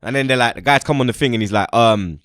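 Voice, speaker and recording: A man's voice: medium pitch, fast, fairly bright tone, dry recording, clean studio sound. A young man with a London accent, hosting a podcast and telling a casual, slangy story. He acts out the lines of the people in it. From England. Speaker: podcast host.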